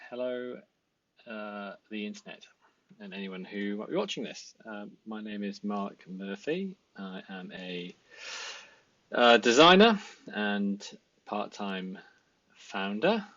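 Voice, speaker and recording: A Welsh man in his thirties rambles through a casual self-introduction, full of ums and restarts. His voice sits fairly low. The sound is dry and a little dull, like a headset mic at a desk. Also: expressive, slow, a bit bright, no echo. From Wales. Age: 30s. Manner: rambling, many fillers and restarts. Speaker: designer and part-time founder. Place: indoor home room at a desk.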